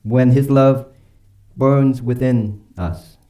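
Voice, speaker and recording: Male pastor, deeply warm dark timbre, earnest and emphatic preaching, slows for weight. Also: fairly even intonation. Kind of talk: sermon and closing prayer.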